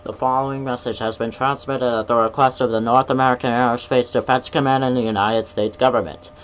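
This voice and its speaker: A man playing a grave emergency alert announcer, reading scripted warnings in a formal, measured way over a noisy broadcast. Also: mid-range voice, little pitch movement, natural voice. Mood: solemn, instructive.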